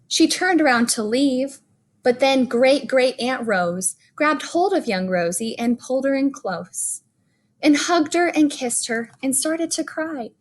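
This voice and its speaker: A woman reading a children's picture book aloud as a bedtime story, phrasing it expressively with deliberate pauses and emphasis. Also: sits high, lively intonation.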